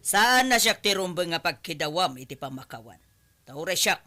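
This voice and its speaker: A woman's voice: lowish voice, big pitch swings, normal speed, pushed and bright, faint music behind. A woman playing a stern middle-aged mother scolding her grown son in a radio drama. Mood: disappointed, stern.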